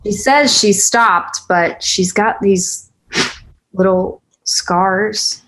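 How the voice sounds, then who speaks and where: A woman's voice: mid-range voice, steady tone, extremely slow, a bit bright, poor audio. A woman acting a tough, weary, guarded single mother in a naturalistic screenplay read, blunt and sardonic. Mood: weary, worried. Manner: blunt, sardonic, plain-spoken.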